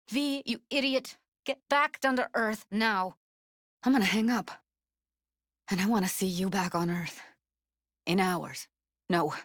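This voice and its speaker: A woman's voice: mid-range voice, fairly bright tone, dry recording, clean studio sound, heavily acted. A woman playing a guarded, blunt but tender young techie in a video game, speaking in a casual, clipped, streetwise way. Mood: upset, scolding. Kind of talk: naturalistic video game voice acting.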